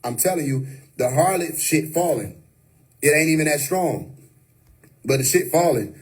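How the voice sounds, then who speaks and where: A man's voice: on the high side, lively intonation, moderate pace, breathy edge. A man giving an unscripted, preachy livestream monologue, emphatic and repetitive. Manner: preachy, emphatic, repeats "you know what I mean". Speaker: livestream host. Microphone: phone or webcam on a live stream.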